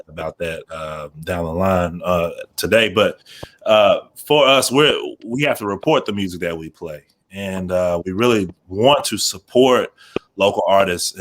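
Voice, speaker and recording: A man speaking informally as a radio programmer on a panel, in halting, measured phrases. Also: deep voice.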